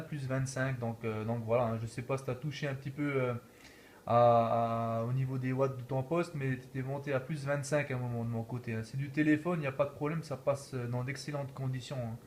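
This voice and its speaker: A man's voice: medium pitch, steady tone, a little fast, a little mellow, slight background noise. A man chatting casually as an amateur radio operator, often ending his phrases with little tag words. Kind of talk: casual radio contact chat.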